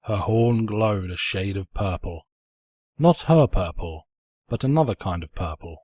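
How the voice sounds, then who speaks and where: A man's voice: on the low side, expressive, unhurried, a little mellow, no echo. A man reading a story as a theatrical narrator, mock-pompous and sardonic. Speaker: narrator, fan fiction reader.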